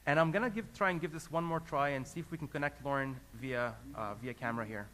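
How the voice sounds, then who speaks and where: A man's voice: fairly high pitch, quick pace, fairly bright tone. A man who grew up in Lebanon, a company founder giving a business presentation to an audience, earnest and hesitant, with many fillers and restarts.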